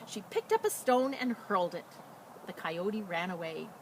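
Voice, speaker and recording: Animated woman storyteller, noisy outdoor recording. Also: medium pitch, moderate pace. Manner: animated, explains things simply for children. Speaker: school storyteller reading a picture book. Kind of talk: casual introduction and expressive children's read-aloud. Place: outdoors beside a chicken coop.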